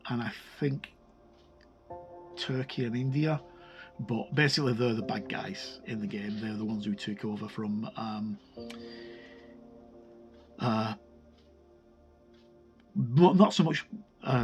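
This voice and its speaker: A man giving a casual, unscripted review of a tabletop game book, haltingly thinking aloud and correcting himself as he goes. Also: medium pitch.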